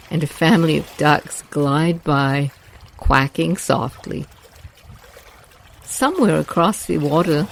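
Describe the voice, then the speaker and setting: A woman guiding a meditation in a slow, soft, soothing voice. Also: deep voice, vocal fry, a little hiss or hum, low-quality recording. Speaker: meditation guide. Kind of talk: guided meditation narration.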